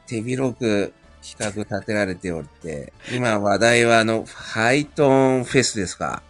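A man's voice: lowish voice, a little slow. A man chatting casually as a guest, polite with folksy turns of phrase, with music behind him. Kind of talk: casual chat.

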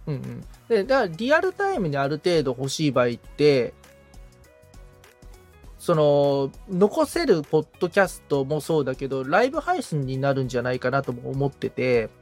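A man's voice: on the high side, sing-song, normal speed, faint music behind. A man working as a podcast assistant, casually asking questions and thinking his way through them hesitantly. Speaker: podcast assistant, guitar repairman.

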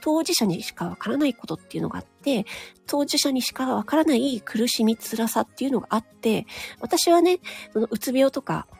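A woman with a higher, breathy voice that swings up and down lively as she talks. She speaks alone in a frank, chatty monologue, full of little nodding asides, while faint music plays behind her. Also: brisk, hardly any pauses. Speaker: solo audio broadcaster. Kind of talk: casual solo monologue.

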